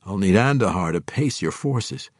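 A steady, wry elven ranger speaks in a low, even tone, voiced by a man narrating. The sound is close and dry, with no room around it. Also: normal speed, dry recording.

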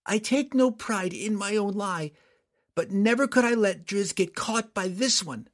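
Man doing a high defiant dwarf girl. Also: a little slow. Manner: high-pitched, pleading and indignant.

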